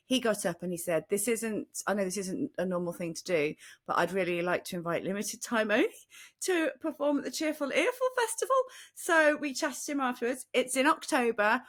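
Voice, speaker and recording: British female co-host, mid-pitched and animated, casual storytelling with self-deprecating jokes. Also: a little fast. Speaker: podcast co-host. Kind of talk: casual chat, telling anecdotes.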